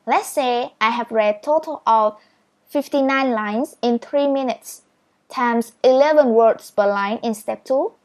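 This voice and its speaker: A woman's voice: on the high side, unhurried, darkish tone. A woman whose first language is Vietnamese, speaking English as she teaches speed reading step by step in a patient, encouraging manner. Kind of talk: step-by-step tutorial.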